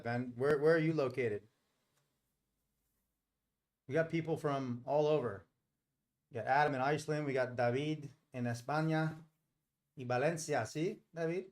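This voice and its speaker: A man's voice: mid-range voice, a little mellow. A middle-aged man hosting a live stream, talking casually and explaining things in a rambling, informal way. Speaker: live stream host and trader.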